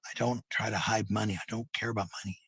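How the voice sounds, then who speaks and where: Husky low-voiced man rambling casually. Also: fairly even intonation, quick pace, a bit bright, no echo. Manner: blunt, sweary, defensive. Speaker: parent talking about family life.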